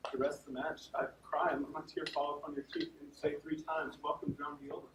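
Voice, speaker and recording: A man's voice: fairly high pitch, little pitch movement, fairly quick, natural voice. A man playing a tender, earnest young long-lost brother in a theatrical stage drama. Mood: moved, wondering. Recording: echoey stage, distant mic, faint noise.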